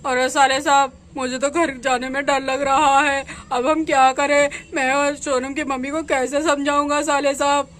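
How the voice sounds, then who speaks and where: A woman voicing a worried, guilt-ridden middle-aged village father, speaking low and heavy. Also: flat delivery, moderate pace, hardly any pauses, a bit bright.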